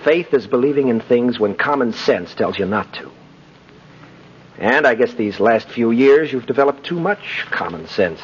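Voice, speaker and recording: An actor playing an idealistic, persuasive lawyer, earnest and emphatic, in 1950s radio drama style. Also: male voice, mid-range voice, animated, normal speed, close to an everyday voice. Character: idealistic, persuasive young male defense lawyer. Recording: noisy, dull 1950s radio recording.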